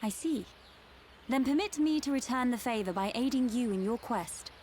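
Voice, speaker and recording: An actress as a high, posh British noblewoman. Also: expressive, moderate pace, darkish tone, a little hiss or hum, close to an everyday voice. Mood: courteous, composed. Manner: formal, stately, aloof. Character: formal, haughty young noblewoman, dignified and reserved. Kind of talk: video game cutscene acting.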